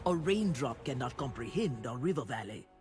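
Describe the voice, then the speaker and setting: A woman voicing a proud, forceful priestess of a sea god for a video game, in solemn, commanding pronouncements. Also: extremely low pitch, sing-song, normal speed, faint music behind. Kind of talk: video game character voice lines.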